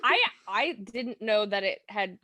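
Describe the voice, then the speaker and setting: A woman's voice: mid-range voice, a little slow, sharp tone. A woman chatting casually and curiously as a podcast guest. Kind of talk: casual chat.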